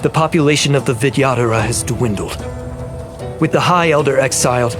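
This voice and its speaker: An actor playing a proud, scheming old elder of a dragon clan in a video game, speaking in a formal, measured, grandiose way. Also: male voice, medium pitch, close to an everyday voice. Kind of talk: dramatic video game voice acting.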